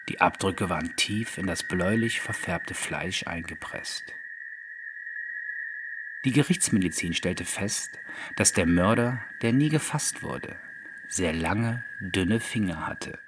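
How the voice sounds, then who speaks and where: A man's voice: lowish voice, noisy background. A man narrating a scripted horror story as an audiobook reader. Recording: background music under the voice. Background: music.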